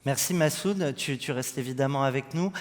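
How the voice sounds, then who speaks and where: A man's voice: medium pitch, normal speed. A man from France hosting an on-stage interview, putting questions to guests. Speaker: host, interviewer. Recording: echoey hall, long reverb.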